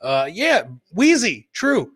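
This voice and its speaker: A man's voice: sits high, wildly swooping pitch, takes it slowly, fairly bright tone. A man hosting a live comedy show, casually commenting on funny clips and often repeating his phrases for comic emphasis.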